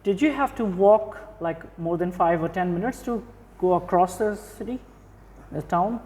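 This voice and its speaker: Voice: medium pitch, normal speed, darkish tone, in a large hall. An interviewer hosting a podcast, asking questions in a conversational way and affirming often with short okays.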